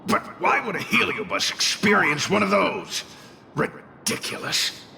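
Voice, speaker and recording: An actor playing a grumpy, sarcastic spirit in a video game, gravelly and theatrical, with haughty disdain. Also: male voice, sits high, animated, unhurried, bright, forceful tone, slight background noise, exaggerated voice. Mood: wistful, brooding.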